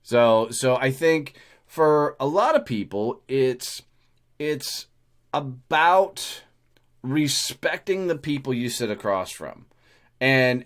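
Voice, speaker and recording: A middle-aged man talks business in a loose, conversational way. He is blunt and swears without a second thought, and his voice sits in an ordinary middle pitch. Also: a bit bright. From Texas, USA. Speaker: podcast host. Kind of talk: casual conversation.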